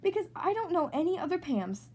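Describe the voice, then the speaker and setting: An excitable little girl acted by a woman reading aloud from a picture book. The voice is high and leaps about in pitch. She pleads and protests emphatically, like a child. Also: brisk. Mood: determined. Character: excitable, indignant little schoolgirl in a storybook.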